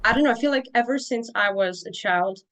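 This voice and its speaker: A woman's voice: on the high side, fairly quick, fairly bright tone. A young woman, a native Czech speaker, talking casually as a podcast guest, loose and full of fillers and restarts. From Czech Republic. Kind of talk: casual interview talk.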